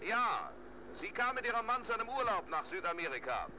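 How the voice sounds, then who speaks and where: A man's voice: high-pitched, very animated, fairly quick. A male actor playing a matter-of-fact ambassador on a long-distance call in a radio crime drama, speaking in clipped, factual phrases. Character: male ambassador phoning from South America, matter-of-fact, disapproving. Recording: noisy long-distance phone line, radio-like hiss.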